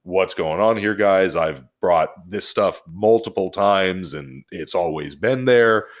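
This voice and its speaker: A man's voice: deep voice, slow. An American man chatting casually and telling anecdotes as a podcast co-host, with slangy, salty language. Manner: profane, slangy.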